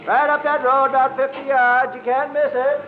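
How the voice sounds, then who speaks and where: Folksy rural sheriff, tinny old radio. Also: male voice, very high voice, flat delivery, normal speed. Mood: folksy, parting. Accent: rural American. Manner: folksy, drawling, plain-spoken. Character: folksy small-town sheriff passing on local gossip. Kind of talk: 1950s radio drama acting. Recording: tinny, band-limited old radio recording.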